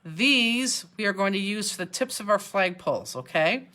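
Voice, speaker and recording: A woman's voice: medium pitch, expressive, normal speed, pushed and bright. A woman from Cleveland with a Cleveland accent, hosting a live craft class and chatting as she answers viewers' comments. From Cleveland, Ohio. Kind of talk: live online craft demonstration. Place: craft studio, indoors.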